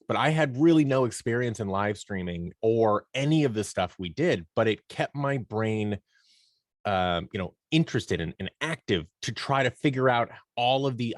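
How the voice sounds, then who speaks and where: Low-voiced man chatting, dry close sound. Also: animated, normal speed, dry recording. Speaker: podcast guest, audio drama producer and performer. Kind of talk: casual conversational interview.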